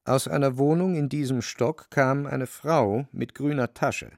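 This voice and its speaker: A man's voice: medium pitch, measured pace, vocal fry, dry recording. A man delivering scripted literary narration for a radio drama.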